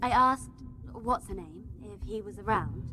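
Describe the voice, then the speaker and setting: A woman's voice: mid-range voice, expressive, a little slow, over music, natural voice. A woman playing a rich boss's entitled, disdainful daughter in a radio play, sarcastic and scornful. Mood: impatient, sarcastic.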